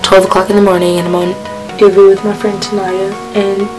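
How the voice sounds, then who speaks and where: Hoarse teen girl vlogger, flat and chatty, close camera mic, music bed behind. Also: medium pitch, a little fast, rough recording. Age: teenager. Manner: chatty, rambling, with many fillers. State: hoarse, losing her voice. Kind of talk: casual chat about a music playlist. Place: small bedroom, indoors.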